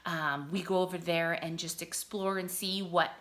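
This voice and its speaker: A woman reading aloud to children like a teacher, enunciating deliberately and explaining words simply as she goes. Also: on the low side, fairly even intonation, a bit bright.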